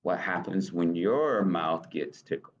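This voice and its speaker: An American man in middle age reads a children's picture book aloud at an unhurried pace. His pitch swings expressively as he stops to ask little questions. The webcam recording sounds slightly dull. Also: expressive, a little hiss or hum. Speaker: library storytime reader. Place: small office, indoors.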